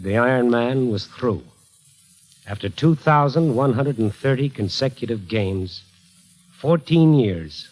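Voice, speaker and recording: A man narrates with measured drama in the style of a 1940s radio play. Music plays clearly beneath his voice on an old broadcast. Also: mid-range voice, a little mellow, low-quality recording.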